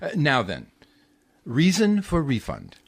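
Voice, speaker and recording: A man's voice: a little high, huge pitch swings, slow pace, creaky, husky, heavily acted. A man playing an officious, falsely genteel department store clerk in a 1940s-style radio comedy, fussy and pompous. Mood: fussy, amused.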